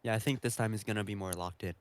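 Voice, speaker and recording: A man's voice: fairly low pitch, very fast, dry recording. A man on a podcast panel, talking casually. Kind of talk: casual chat.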